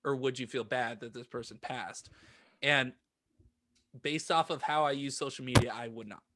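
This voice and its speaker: A man co-hosting a podcast in casual group chat, talking in a halting, rambling way. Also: medium pitch, steady tone, pushed and bright. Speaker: podcast co-host.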